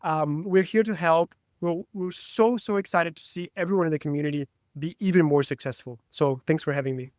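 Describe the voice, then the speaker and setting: Brazilian man, native Portuguese, podcast guest; bright-pitched, dry close mic, keen and talkative. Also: fairly quick, hardly any pauses, dry recording. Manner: enthusiastic, talkative. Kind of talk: casual interview chat.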